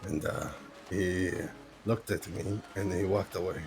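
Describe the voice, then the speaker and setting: Man, very deep voice, gravelly, deadpan, music in the background. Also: measured pace. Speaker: roleplayer. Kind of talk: in-character storytelling.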